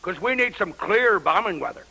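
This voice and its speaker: A blustering, foul-mouthed president; gravelly, bright, high-pitched voice. Radio drama. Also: male voice, expressive, moderate pace, heavily acted, low-quality recording. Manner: gruff, swearing, blustering. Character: profane, angry male president in the Oval Office. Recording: radio play recording.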